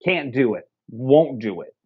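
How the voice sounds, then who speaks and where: A man's voice: mid-range voice, animated, measured pace, slightly rough. A man co-hosting a casual movie review podcast, riffing sarcastically and swearing freely. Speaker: podcast co-host. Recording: slightly dull lo-fi audio.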